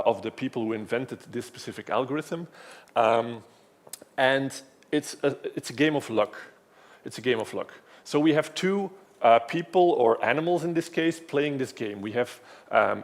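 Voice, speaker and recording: A man at a conference lectern walks the audience through a technical topic. His pitch sits right in the middle of the ordinary male range. He talks in a plain explanatory way, makes light of himself, and often restarts his sentences. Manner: explanatory, self-deprecating, frequent restarts. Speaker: conference speaker. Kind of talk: live technical presentation.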